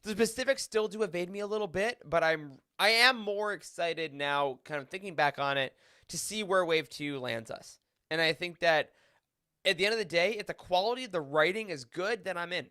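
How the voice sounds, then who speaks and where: Bright, high-voiced man chatting. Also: moderate pace, clean tone, dry recording, studio quality. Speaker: podcast panelist. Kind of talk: casual chat.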